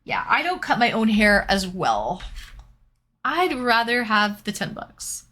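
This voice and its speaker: A woman's voice: on the high side, big pitch swings, slow pace, pushed and bright. A young woman from Canada chatting casually with viewers while painting and reading out their comments. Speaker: streamer.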